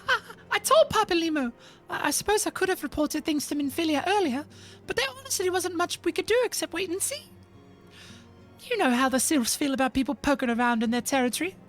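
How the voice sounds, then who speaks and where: A bubbly young adventurer girl chatters along in a bright, jumpy voice, as a streamer puts her on. The pitch sits far above the reader's own and swings a lot. She sounds a touch off-mic, with faint music underneath.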